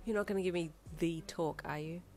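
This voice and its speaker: A woman voicing a sarcastic, excitable teenage girl who can be deadpan or squealing, in a narrated story. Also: on the low side, fairly quick, close to an everyday voice. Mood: wary. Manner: swings between deadpan and squealing.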